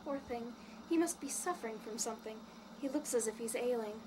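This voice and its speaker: A woman acts a young female traveler in an audio drama. She is considerate, polite and anxious, with a high voice. The recording has clear noise behind her. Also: brisk, mellow, lightly acted. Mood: concerned, fussy. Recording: clearly noisy background.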